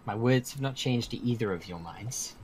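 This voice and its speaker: A man as an earnest, mid-pitched mortal peacemaker. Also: normal speed, darkish tone, a little hiss or hum, close to an everyday voice. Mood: frustrated, grave. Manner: earnest, persuasive. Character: earnest, persistent male mortal mediator urging peace. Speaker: roleplay actor. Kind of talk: improvised Minecraft supernatural roleplay. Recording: music behind the voice.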